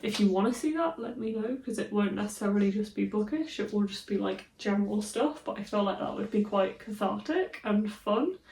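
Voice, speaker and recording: A woman's voice: medium pitch, a little mellow, recorded from a distance. A chatty woman rambling happily through a casual vlog, slipping in little giggles. Her voice is a bit stuffy from a cold. She sits back from the mic in a bare, echoey room. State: slightly congested from a cold. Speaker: book vlogger.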